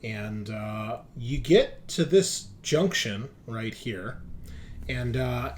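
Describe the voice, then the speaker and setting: A man narrating a tabletop roleplaying game as game master, in a halting, explanatory way. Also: medium pitch, darkish tone, slight background noise.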